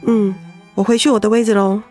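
A woman playing a gentle young wife who keeps a brave face, in a radio drama. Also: mid-range voice, level tone, a little fast, soft background music, lightly acted. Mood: uneasy.

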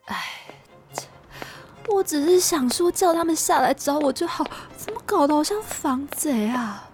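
Woman playing exasperated young female pianist, fairly high voice, Taiwanese Mandarin accent, audio drama, faint music underneath. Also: expressive, a little slow, darkish tone. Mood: uneasy.

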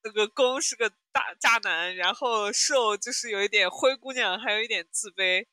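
A woman's voice: medium pitch, moderate pace, bright, forceful tone, no echo. A woman co-hosting a podcast, chatting casually about audio dramas in a playful, teasing way. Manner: playful, giggly, teasing storytelling. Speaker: podcast co-host.

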